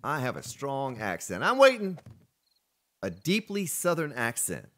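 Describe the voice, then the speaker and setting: A man's voice: medium pitch, huge pitch swings, a little slow, fairly bright tone. A man from Florida chatting casually on a live game stream, answering viewers between gameplay remarks. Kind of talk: casual live-stream chat while playing.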